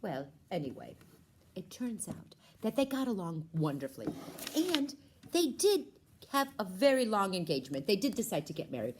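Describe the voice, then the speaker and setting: A woman's voice: mid-range voice, big pitch swings, moderate pace, slight background noise. A woman storyteller narrating a children's puppet show.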